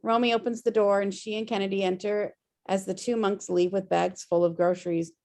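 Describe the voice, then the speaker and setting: A woman's voice: mid-range voice, little pitch movement, brisk, a little mellow. Woman reading script stage directions. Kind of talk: scripted narration at a table read. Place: remote video call read. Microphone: video call.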